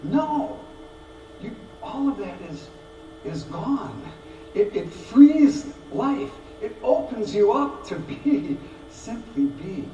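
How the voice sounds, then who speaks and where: Animated preacher in an echoey church. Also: male voice, sits high, slow pace. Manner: animated storytelling, acts out voices, repeats words. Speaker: pastor. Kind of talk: sermon. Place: church sanctuary. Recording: roomy, distant mic, background noise.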